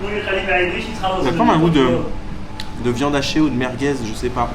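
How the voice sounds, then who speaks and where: A man's voice: on the high side, expressive, a little slow, low-quality recording. A man chatting casually to the camera in a travel vlog, enthusiastic and full of fillers, at a busy local eatery. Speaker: travel vlogger. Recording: street crowd noise behind.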